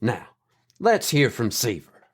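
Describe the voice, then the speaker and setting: Someone playing a story podcast host, scripted intro style, lively up and down voice, mid pitch. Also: takes it slowly. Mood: presenting. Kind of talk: scripted show presenting.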